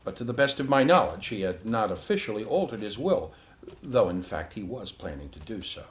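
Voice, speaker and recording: An actor playing a formal, guarded male attorney giving a measured, carefully worded statement in a crime drama. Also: fairly low pitch, very animated. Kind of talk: acted formal statement to investigator.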